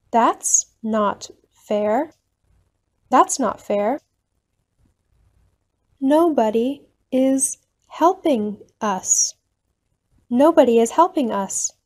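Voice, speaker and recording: A woman reads simple practice sentences for learners at a very slow, deliberate pace. Her voice sits a little high but sounds soft and mellow. She says each line slowly, then repeats it at an everyday speed. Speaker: English teacher reading phrases. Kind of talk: slow, clear phrase reading for learners.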